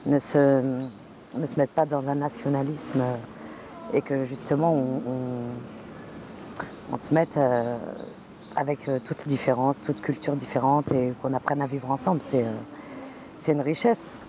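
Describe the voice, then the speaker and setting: A woman's voice: very deep voice, steady tone, measured pace, noisy background. A woman in an interview, speaking hesitantly with many fillers.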